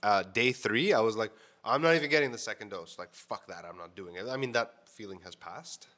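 A man with a fairly low voice chats to camera in a loose, frank vlog style, peppering his talk with fillers and the odd swear word. His voice sounds a little stuffed up and congested. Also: fairly quick, pushed and bright. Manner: chatty, frank, swears casually, many "like" fillers. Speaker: vlogger. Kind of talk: casual storytelling to camera. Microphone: mic on camera setup.

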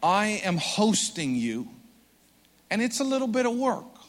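A man preaching a sermon with earnest conviction, swelling loud for emphasis and dropping soft and slow. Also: high voice, sing-song. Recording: long hall reverb.

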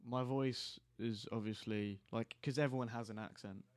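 A teenage man co-hosting a podcast, asking curious questions in casual chat, sometimes rushing his words. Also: mid-range voice, fairly even intonation, no echo. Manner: curious, asks questions, quick rushed phrasing. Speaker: podcast co-host.

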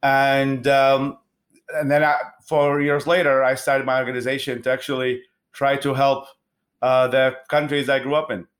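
A man from Iraq in his thirties, a guest answering interview questions in an earnest, halting way with many restarts. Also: mid-range voice, flat delivery.

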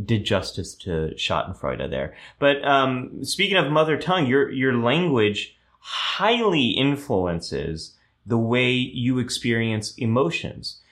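A man hosting a live show who explains ideas at length, reads out viewer comments, and often pauses with ums and restarts. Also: on the low side, fairly bright tone.

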